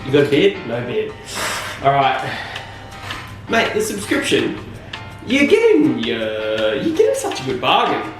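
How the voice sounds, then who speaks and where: A man working as a barber, making an animated, self-conscious sales pitch off the cuff. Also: a little slow, gravelly, pauses often. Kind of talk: casual on-the-spot sales pitch. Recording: distant and echoey with loud music.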